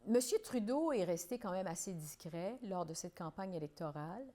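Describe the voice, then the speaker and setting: A woman hosts a political interview and asks her questions in a measured, precise way. Her voice sits on the low side. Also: animated, dark tone. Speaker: interviewer, show host.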